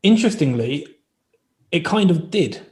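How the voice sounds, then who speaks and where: A man hosting a casual interview, feeling his way haltingly through his questions. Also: fairly high pitch, very animated, a little mellow. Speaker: podcast host.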